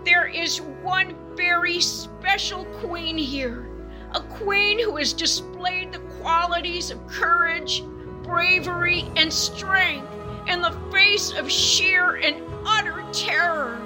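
A woman's voice: extremely high pitch, takes it slowly, low-quality recording. A woman playing a brazen, self-absorbed diva pop goddess in a campy comic radio play, theatrical and blasé. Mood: grandiose. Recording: music under the voice.

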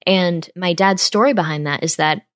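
A woman's voice: lowish voice, normal speed, no echo, clean studio sound. A woman in her twenties from upstate New York, hosting a podcast and talking earnestly and directly to her listeners. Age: 20s. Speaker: podcast host. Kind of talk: casual monologue.

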